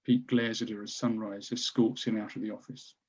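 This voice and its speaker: A man's voice: on the low side, little pitch movement, a little fast, darkish tone, husky, lo-fi sound. A man reading stage directions as scripted narration for a screenplay table read.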